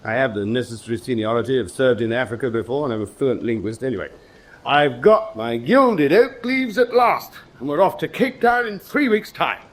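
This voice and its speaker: An actor playing a pompous, boastful British naval commander in a radio drama, with an emphatic, clipped officer manner. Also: male voice, mid-range voice, lively intonation, moderate pace, lightly acted. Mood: self-important, excited.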